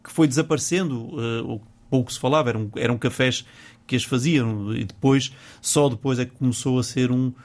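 A man's voice: medium pitch, steady tone, brisk, a little mellow. A man from Porto with a northern Portuguese accent, a guest in a casual radio interview who talks in a chatty, hesitant way, repeating words and laughing easily. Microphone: studio microphone.

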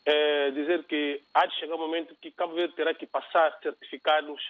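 A man's voice: a little high, flat delivery, brisk, no echo. Impassioned man on a tinny phone line. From São Tomé and Príncipe. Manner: passionate, emphatic, indignant. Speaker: radio caller. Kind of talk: phone-in opinion. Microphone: telephone.

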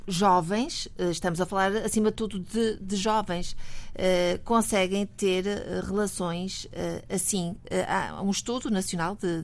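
Hesitant Portuguese woman academic. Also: on the low side. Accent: European Portuguese. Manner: hesitant, many "eh" fillers, measured. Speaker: communication professor, guest. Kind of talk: radio discussion. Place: radio studio.

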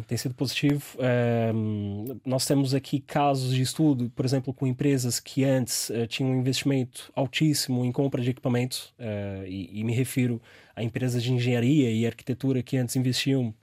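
A man's voice: medium pitch, mellow, no room sound, studio quality. A young man with a Brazilian Portuguese accent, a startup founder answering interview questions with many fillers and restarts.

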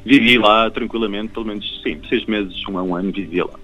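A man's voice: lowish voice, fairly quick, bright, forceful tone, muffled recording, faint music behind. A young man with a European Portuguese accent talks casually and reflectively as an interview guest, often repeating words as he searches for phrasing. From Algarve, Portugal. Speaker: traveller and guide interviewed.

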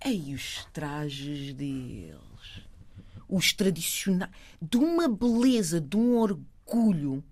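A middle-aged woman with a European Portuguese accent, chatting as a guest, animated, laughing often and repeating words while she searches for them. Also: sits low, slow. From Portugal and Cape Verde. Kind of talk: casual conversation.

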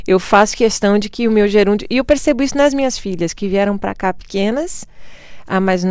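A woman's voice: medium pitch, no room sound, clean studio sound. A middle-aged woman from Brazil with a Brazilian Portuguese accent, a guest speaking reflectively in a conversational interview, laughing often. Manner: reflective, laughs often, quotes others in lively voices.

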